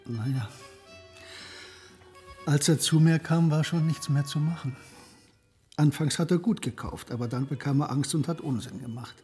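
A man's voice: fairly high pitch, normal speed, long pauses, warm tone, soft background music, natural voice. An actor playing a brooding, guilt-ridden man in a radio play, speaking in a subdued, hesitant way. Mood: subdued, guilty.

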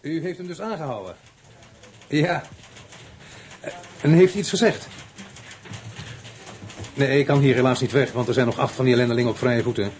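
An actor playing a brisk, businesslike middle-aged police inspector who gives clipped orders. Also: male voice, mid-range voice, a little mellow, slight background noise, close to an everyday voice. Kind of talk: radio play acting.

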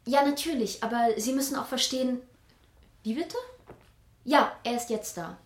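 A woman's voice: on the high side, normal speed, reverberant, close to an everyday voice. An actress playing a cheeky young girlfriend who talks in a polite, clipped way on the telephone. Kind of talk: radio play acting.

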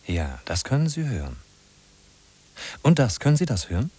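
A man's voice: medium pitch, very animated, moderate pace, mellow, breathy edge. A man acting as the narrator of a 1950s German radio drama, an urgent, musing guide who addresses the listener with emphatic rhetorical questions. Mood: teasing, conspiratorial. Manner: rhetorical, emphatic, questioning then answering himself.

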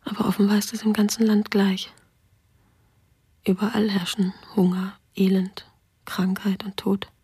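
An actress whispering the diary of an exhausted woman refugee for a radio drama, raspy and tired. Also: mid-range voice, flat delivery, measured pace, long pauses, warm tone, no room sound, studio quality. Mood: weary.

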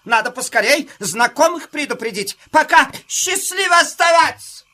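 A woman's voice: sits high, animated, normal speed, pushed and bright. A woman playing a naive, easily amazed little sparrow in a children's audio play, speaking in exclamations. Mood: alarmed, chirpy. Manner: exclamatory, gullible.

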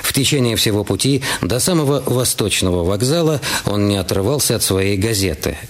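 A man's voice: on the low side, lively intonation, a little fast. A man reading scripted, dramatised narration for a detective audio drama. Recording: music behind the voice. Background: music.

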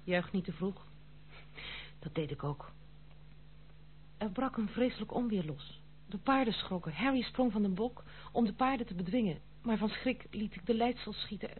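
An actress playing a dutiful, stern but kind granddaughter in an old Dutch radio play, speaking formally and gravely. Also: female voice, mid-range voice, animated, moderate pace, lightly acted. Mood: remorseful. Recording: muffled phone-like old radio recording, faint hum.

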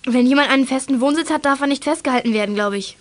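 A woman's voice: high-pitched, fast, dry recording, natural voice. An actress playing a sensible, confident teenage girl detective in a children's audio drama, direct and matter-of-fact. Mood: practical.

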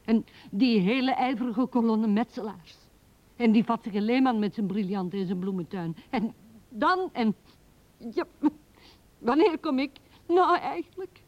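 High-voiced, insistent young woman in love. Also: expressive, a little slow, long pauses. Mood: dreamy, restless. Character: young Anna, earnest woman longing to be loved. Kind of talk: radio drama dialogue. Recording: dull 1970s radio recording.

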